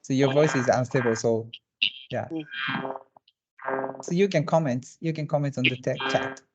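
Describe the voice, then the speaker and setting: A man speaks in an ordinary mid-range voice as he answers questions in an online webinar. His non-native English is halting and packed with fillers and restarts as he hunts for words. The sound is that of a plain video call. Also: mid-range voice, muffled recording, noisy background. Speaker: company representative presenting jobs in Japan.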